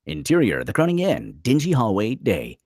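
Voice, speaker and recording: A man's voice: medium pitch, huge pitch swings, moderate pace, gravelly, a little mellow, dry recording, clean studio sound. A man narrating screenplay stage directions dramatically at a table read.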